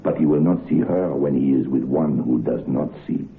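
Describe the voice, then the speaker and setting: A nervous French head waiter. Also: male voice, rumbling low, moderate pace, slight background noise. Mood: confiding. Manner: broken English, urgent pleading. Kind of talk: old-time radio drama acting. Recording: tinny phone-like old radio recording.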